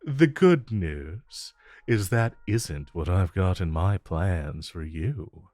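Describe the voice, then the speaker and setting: A man playing a suave, flirtatious supervillain in a romantic audio roleplay, with a smooth, teasing drawl and deliberate pauses. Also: low voice, animated, a little mellow, dry recording, studio quality. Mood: sly, reassuring. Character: suave, flirtatious male supervillain courting his tailor.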